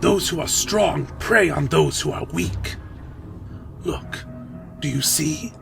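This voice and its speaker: A man playing an ambitious, condescending vampire lieutenant in a fan audio drama, persuasive and deliberate, with insinuating delivery. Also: a little high, expressive, vocal fry, soft background music, exaggerated voice. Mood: fervent.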